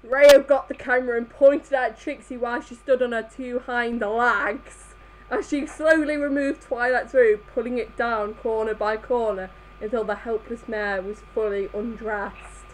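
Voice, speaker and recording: Higher-pitched woman reading, background noise. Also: steady tone, unhurried. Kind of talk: amateur group reading of fan fiction. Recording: noisy home voice-chat recording.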